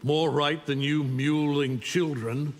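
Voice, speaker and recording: Middle-aged superhuman tyrant for a fighting game: condescending, menacing, ringing in a big reverberant space. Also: male voice, fairly high pitch, fairly even intonation, takes it slowly, a little hiss or hum. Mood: cold, domineering. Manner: imperious, threatening, condescending. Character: arrogant, menacing middle-aged alien superhuman conqueror in a cape. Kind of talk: video game fight-intro dialogue. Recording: long hall-like reverb.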